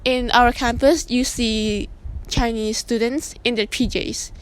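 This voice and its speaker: A young woman from Laos talks through her answers in a loose, rambling manner, full of fillers. Her voice sits a little high. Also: a little slow, slight background noise, low-quality recording. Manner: relaxed, rambling, with many fillers. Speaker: interview guest, student. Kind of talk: casual interview answers.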